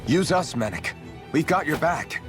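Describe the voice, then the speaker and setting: A man's voice: on the high side, expressive, a little slow, music in the background. An actor playing an earnest, determined young male rebel fighter in a video game. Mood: alert, determined. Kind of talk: video game cutscene acting.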